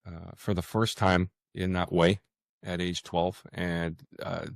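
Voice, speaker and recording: A man with a low, deep voice chats as a guest in an interview. He speaks haltingly, pausing and doubling back on his words. The sound is close and dry. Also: level tone, vocal fry, dry recording. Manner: hesitant, halting, with frequent pauses and repeated words. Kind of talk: casual interview conversation.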